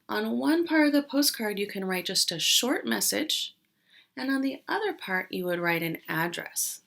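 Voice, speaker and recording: Female kindergarten teacher for a children's read-aloud. Slow pace, medium pitch, simple explanations, addresses young listeners directly. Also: vocal fry, fairly bright tone.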